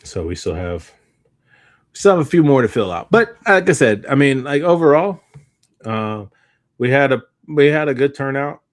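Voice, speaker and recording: A man chatting casually as a podcast co-host, halting and thinking aloud as he rambles along. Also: medium pitch, sing-song. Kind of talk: casual unscripted chat.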